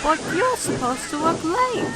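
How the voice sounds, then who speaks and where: High, slow, eager little tank engine voiced by a woman. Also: very animated, heavy background noise, full character voice. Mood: puzzled, unsure. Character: eager, obliging young male tank engine. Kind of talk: amateur audio drama acting. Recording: background music under the voice.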